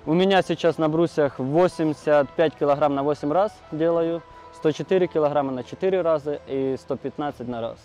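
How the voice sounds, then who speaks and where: A man's voice: a little high, fairly quick, darkish tone, faint music behind. A man, an athlete, answering questions outdoors in short, matter-of-fact replies. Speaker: athlete being interviewed. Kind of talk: casual chat.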